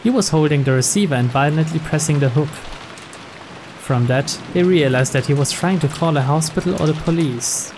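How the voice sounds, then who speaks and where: A man's voice: a little high, normal speed, background noise. A man reading scripted story narration from a game.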